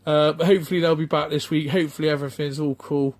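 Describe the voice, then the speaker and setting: Rambling man, fairly high voice. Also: fairly even intonation. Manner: rambling, halting, lots of ums and restarts. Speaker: retro game collector vlogger. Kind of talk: casual off-the-cuff vlog chat.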